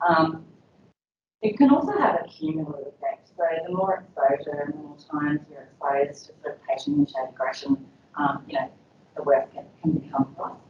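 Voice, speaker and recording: A woman's voice: sits low, brisk, far from the mic, slight background noise. A woman presenting an educational session to health staff, chatty and conversational with frequent ums and you-knows. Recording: dull, echoey room audio from afar.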